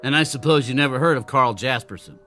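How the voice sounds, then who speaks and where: A man's voice: medium pitch, fast, pushed and bright, close to an everyday voice. Man playing a tense, tormented young man. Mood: uneasy, insistent. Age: young adult. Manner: tense, intense. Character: tormented young man fighting an urge to kill. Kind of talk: radio drama acting. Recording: music behind the voice.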